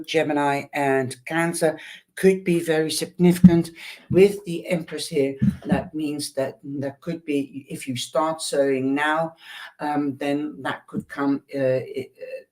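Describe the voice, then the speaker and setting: Slow, hesitant man reading fortunes. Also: fairly high pitch, a little mellow. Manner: hesitant, many ums, addresses viewer fondly. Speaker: tarot and tea-leaf reader.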